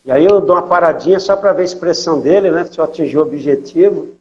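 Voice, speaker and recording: A man's voice: fairly high pitch, fast talker, a little mellow, highs cut off. Older São Paulo man telling missionary stories. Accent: São Paulo Portuguese. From São Paulo, Brazil. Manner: storytelling, many fillers and tag questions. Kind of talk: conversational testimony interview.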